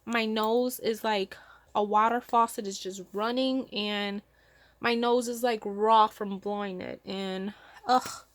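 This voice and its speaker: A woman's voice: medium pitch, fairly even intonation, takes it slowly, dry recording. A woman with a stuffy cold nose, chatting casually and thinking aloud as she sorts her makeup at home. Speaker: beauty vlogger. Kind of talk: casual makeup vlog chat. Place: home room with vanities.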